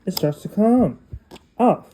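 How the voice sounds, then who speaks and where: Slow-talking man with a higher-pitched voice. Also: animated, warm tone. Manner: halting, short phrases, non-standard grammar. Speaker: unboxing video creator. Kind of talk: casual unboxing vlog, talking through a demonstration.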